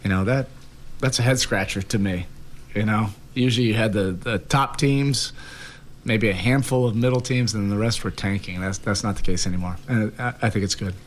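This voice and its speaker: A man's voice: fairly low pitch, normal speed, slight background noise. A man in his forties, a head coach answering questions at a press conference, thoughtful, with many fillers and repeated words. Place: press room in front of sponsor backdrop. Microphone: table mic.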